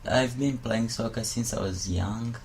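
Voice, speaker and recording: A man's voice: medium pitch, measured pace, a little hiss or hum. A sixteen-year-old boy from Tanzania, a soccer player speaking informally in an interview.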